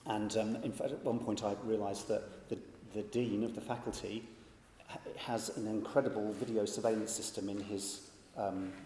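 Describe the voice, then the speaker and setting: Man panelist, reflective, stumbles into sentences, deep and mellow, roomy hall sound. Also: little pitch movement, moderate pace, a little hiss or hum. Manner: reflective, repeating words as he starts. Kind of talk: panel discussion answer. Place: large conference hall. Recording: echoey hall.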